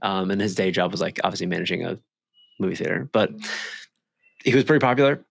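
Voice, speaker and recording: A man's voice: deep voice, expressive, fast talker, vocal fry. A young man co-hosting a podcast in casual, slangy chat full of bro and dude. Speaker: podcast co-host.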